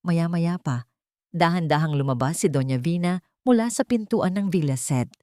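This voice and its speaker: A woman narrates a dramatic story from a script. Her voice sits a little low for a woman. The recording is close and very dry, with no room sound. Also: moderate pace, darkish tone, no echo.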